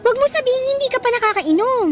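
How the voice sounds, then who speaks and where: A woman's voice: very high-pitched, lively intonation. A woman playing a pushy, vain, slangy female college student in a radio drama. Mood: sassy, teasing. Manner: sassy, slangy, cajoling, drawn-out words.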